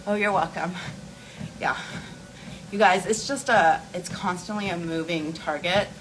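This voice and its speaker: A woman's voice: fairly low pitch, measured pace, background noise. A woman hosting a casual livestream, answering viewer questions in a rambling, informal, explanatory way while walking on an inclined treadmill. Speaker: beauty and wellness livestream host.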